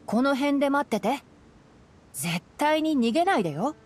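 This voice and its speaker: A woman playing a young male forest ranger in a Japanese anime game, calm and studious, explaining things in a measured way. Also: high voice, a little hiss or hum. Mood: gently firm. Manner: measured, matter-of-fact explaining.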